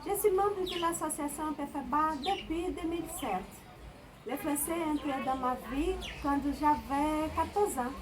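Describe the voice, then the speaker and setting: High-voiced brazilian woman in her 50s, cheerful self intro in french, noisy background. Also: moderate pace. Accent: Brazilian Portuguese. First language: Portuguese. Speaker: teacher, school principal.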